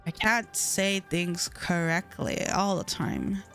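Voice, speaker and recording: A woman's voice: fairly low pitch, slow, fairly bright tone, faint music behind. A woman game streamer with a slight lisp, chatting casually on a live stream while playing, mumbling and stumbling over her words.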